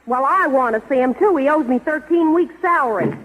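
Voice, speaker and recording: An actress playing a brash, sassy, disgruntled secretary with slangy delivery in a 1930s radio comedy. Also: female voice, high voice, fairly quick, a bit bright, slight background noise. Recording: reverberant old radio broadcast.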